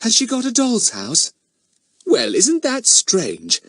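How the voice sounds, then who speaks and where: A man's voice: very high-pitched, wildly swooping pitch, slow pace, a bit bright, no room sound, clean studio sound, voice pushed up, heavily acted. A man voicing a kindly, genteel young mother in a storybook, gracious and chatty. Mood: pleased.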